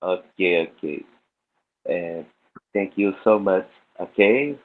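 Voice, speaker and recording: A man from Brazil, a native Portuguese speaker, asking questions on a video call in halting, simple English. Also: low-pitched. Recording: tinny phone-like call line.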